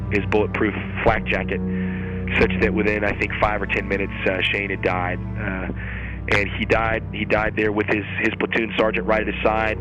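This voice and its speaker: A male military officer recounting events first-hand in a measured, halting manner. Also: lowish voice, poor audio.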